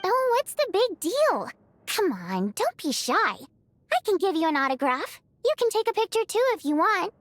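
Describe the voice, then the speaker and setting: An actress playing an excitable, outspoken young woman with a dramatic, chatty delivery in a video game. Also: extremely high pitch, normal speed. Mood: playful, coaxing. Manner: expressive, exclamatory, chatty.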